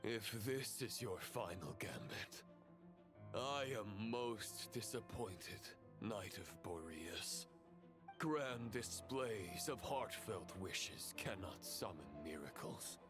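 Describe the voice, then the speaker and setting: An actor playing a menacing, scornful male villain in a video game, speaking in a slow, measured way. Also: fairly low pitch, lively intonation, over music. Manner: slow, measured, contemptuous delivery.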